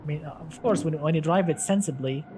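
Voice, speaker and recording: A man's voice: a little high, fast, heavy background noise. Chatty man whose first language is Arabic. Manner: chatty, many restarts, addresses chat as "my friend". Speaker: streamer. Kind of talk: casual live-stream commentary.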